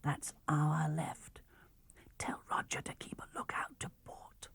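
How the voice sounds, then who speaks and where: A man voicing a stealthy young sailor in a storybook, in a breathy whisper. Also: a little high, monotone, takes it slowly, fairly bright tone, no room sound, full character voice.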